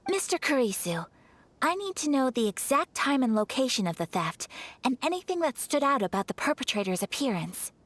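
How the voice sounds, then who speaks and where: A woman playing a poised, courteous young noblewoman with formal, measured manners in a video game. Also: sits high, very animated, no echo. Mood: decisive. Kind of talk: video game dialogue acting.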